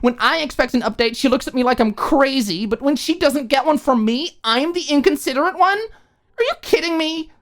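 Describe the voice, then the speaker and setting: A woman's voice: high-pitched, lively intonation, normal speed, voice pushed up. A woman voicing an indignant young female student, huffy and exasperated, in an audiobook drama. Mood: indignant ranting. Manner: huffy, exasperated, rhetorical questions. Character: Maud, indignant young woman student ranting at her roommate.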